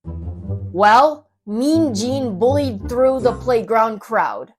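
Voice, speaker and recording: A woman reading a children's story aloud as an expressive narrator, with dramatic, rhythmic and playful delivery. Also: on the high side, drawn-out words, poor audio. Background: children's music.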